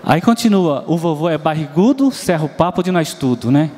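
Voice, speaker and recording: Brazilian male preacher, mellow tone, storytelling sermon, reverberant church hall. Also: fairly high pitch, expressive, a little fast, slight background noise. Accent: Brazilian Portuguese. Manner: storytelling, asks the congregation questions, sings bits of nursery rhymes.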